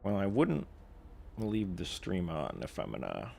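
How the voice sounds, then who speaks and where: A male streamer with a deep, gravelly voice reacts casually to a game. His pitch swings widely while the remarks stay offhand. Faint noise sits in the background. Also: wildly swooping pitch, unhurried, darkish tone. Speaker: livestreamer. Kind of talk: casual live gameplay commentary.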